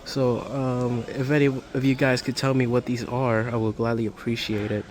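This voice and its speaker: A man's voice: mid-range voice, little pitch movement, moderate pace. A man giving casual walkthrough commentary as a vlogger on a handheld recording inside a large warehouse store. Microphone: handheld phone or camera. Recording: clear store ambient noise.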